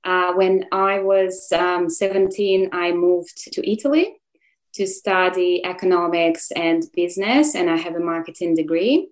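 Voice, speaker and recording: A woman's voice: on the low side, unhurried. A woman from Russia with a Russian accent, telling her own story as a guest in an interview. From Moscow, Russia. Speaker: podcast guest, photographer. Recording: roomy, echoey sound.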